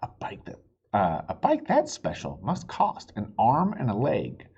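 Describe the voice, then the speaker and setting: A man voicing a gentle, sensible mother of a young girl in a children's storybook, kindly and practical. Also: medium pitch, huge pitch swings, a little slow, husky, highs cut off, exaggerated voice.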